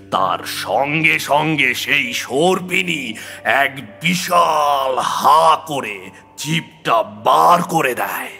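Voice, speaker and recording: A man's voice: mid-range voice, gravelly, sharp tone. A man narrating a scripted horror story in a theatrical, suspenseful way, leaning into dramatic emphasis. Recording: long reverb, music under the voice. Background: background music.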